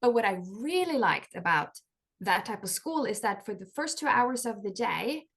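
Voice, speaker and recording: A woman from Norway, a life coach and author, telling her story in a chatty interview, full of "like" fillers and quick laughs. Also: mid-range voice, very animated, creaky, a bit bright. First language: Norwegian.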